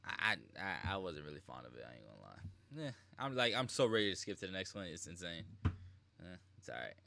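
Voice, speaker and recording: A man's voice: low-pitched, very animated, fast talker, vocal fry, sharp tone, no echo. A man giving casual live reaction commentary as a game streamer, slangy and informal.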